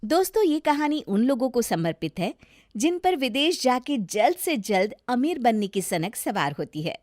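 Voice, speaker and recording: A woman's voice: on the high side, big pitch swings, normal speed, darkish tone, no echo, studio quality. A woman narrating a scripted moral story as a storyteller.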